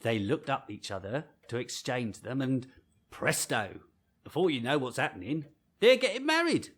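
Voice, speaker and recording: A man's voice: mid-range voice, big pitch swings, normal speed, a bit bright, husky. An actor playing a talkative, self-important village postman and bell ringer in an old-time radio drama, jovial and boastful. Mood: cheerful, gossipy.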